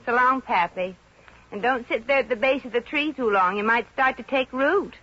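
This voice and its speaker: A woman's voice: a little high, moderate pace, close to an everyday voice. An actress playing a plucky, wisecracking, broke showgirl in a 1950s radio comedy, with a brassy, wry, slangy way of talking. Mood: sassy. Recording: dull, noisy 1950 radio recording.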